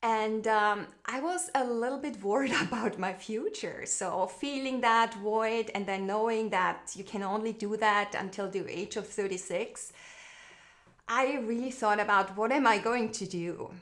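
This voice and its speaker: Give me a middle-aged woman with an Austrian accent, telling her story in a reflective, halting way. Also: medium pitch. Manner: warm, reflective, frequent fillers and restarts. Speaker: guest, branding expert. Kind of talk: casual conversational storytelling over video call.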